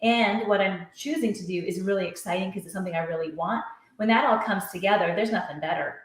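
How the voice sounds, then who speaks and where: A woman's voice: medium pitch, fast, reverberant. A middle-aged woman, an author and education coach, talks earnestly in long flowing sentences during a podcast interview.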